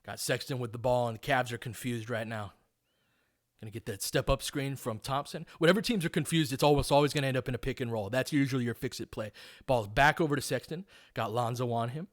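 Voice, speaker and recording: A man with a medium-pitched voice calls a basketball game live. He talks informally, like a fan of one side, and follows the action play by play. He also explains tactics in coaching detail. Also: fast. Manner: informal, partisan fan asides. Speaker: play-by-play commentator.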